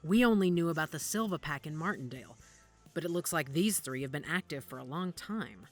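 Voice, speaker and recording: A woman acting in an audio drama as a guarded, worried werewolf investigator who speaks gravely and deliberately. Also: sits low, animated. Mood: grim, alarmed. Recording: music under the dialogue.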